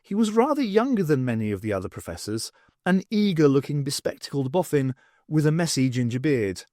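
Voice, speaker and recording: A man's voice: on the high side, sing-song, brisk, mellow, no echo, clean studio sound. A man narrating a scripted story as an audiobook reader.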